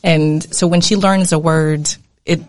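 Deep-voiced woman podcast guest. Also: fairly even intonation, a little slow. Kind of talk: casual chat.

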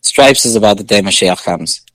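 A male singer with a low speaking voice, chatting casually in an interview with many fillers and restarts. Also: moderate pace, a bit bright, dry recording.

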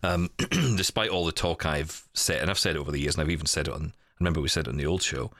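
A man with a very low-pitched voice talks in a dry, close recording. He is a podcast co-host in reflective, casual chat, carefully qualifying his points and rephrasing as he goes. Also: steady tone, fast, sharp tone, no room sound. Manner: hedging, careful, self-correcting.

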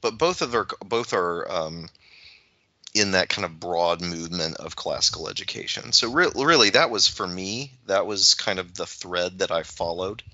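A man with a deep, strikingly bright and forceful voice chats casually as a podcast co-host. He thinks aloud in halting stretches. The sound is dry and a little lo-fi. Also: no echo. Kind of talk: casual conversation.

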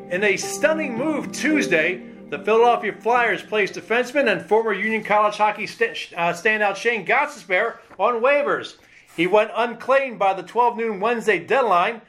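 High-pitched man hosting a sports podcast. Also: fairly bright tone. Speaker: podcast host, sports editor.

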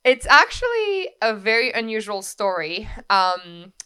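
A woman in her thirties from France with a French accent, telling her story as a podcast guest in a lively way with quick asides. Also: medium pitch, clean tone. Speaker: guest, wedding coordinator. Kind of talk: casual interview storytelling.

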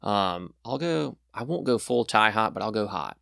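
A man's voice: fairly low pitch, animated, normal speed, vocal fry, fairly bright tone, no echo, clean studio sound. A young man chatting as a podcast guest, rambling and enthusiastic with lots of fillers. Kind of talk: casual chat.

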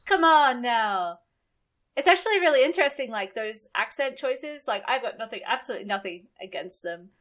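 A woman's voice: on the high side, lively intonation, fairly quick, fairly bright tone. A woman in her thirties with an Australian accent, a guest chatting on a podcast and often laughing. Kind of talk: casual podcast chat.

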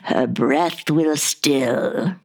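A woman playing a scheming, exasperated evil queen in a comedic radio play, with a croaky, dramatic delivery. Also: medium pitch, very slow. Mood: sinister gloating.

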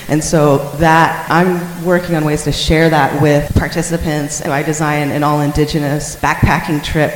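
A woman with an American accent talking earnestly and informally as a panelist in an on-stage discussion. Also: deep voice. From Oregon, United States. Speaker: panelist, artist and activist. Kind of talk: panel discussion, extemporaneous talk. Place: large reverberant hall, live panel. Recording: echoey hall with long reverb.

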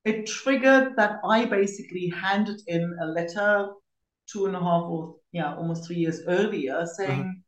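A woman's voice: fairly low pitch, a little slow. A middle-aged woman from East Germany, telling her own story conversationally as a podcast guest, with informal asides. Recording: roomy, echoey sound.

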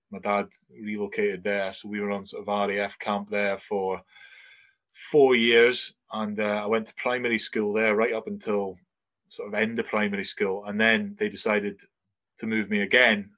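A man's voice: on the low side, level tone, normal speed, fairly bright tone. A man in his forties with a Scottish accent carrying some English traces, telling his life story as a podcast guest in a rambling, chatty way. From Scotland. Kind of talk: casual interview chat.

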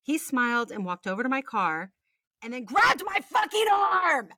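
A woman's voice: high voice, very animated, moderate pace, sharp tone, no echo, studio quality. An American woman co-hosting a podcast, reading listener stories aloud in a casual, sweary way with chatty asides. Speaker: podcast co-host.

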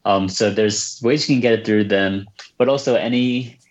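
A reserved, soft-spoken man from the United States, answering questions about his books in a casual interview with many fillers and restarts. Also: fairly low pitch, normal speed, lo-fi sound. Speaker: children's book author, guest.

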